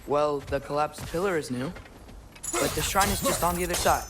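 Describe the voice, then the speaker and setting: A man's voice: on the high side, a little fast, heavy background noise, lightly acted. A young man playing an eager, inquisitive teenage warrior's son in a video game, earnest. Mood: earnest, curious. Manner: earnest, explanatory, youthful. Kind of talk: video game cinematic acting.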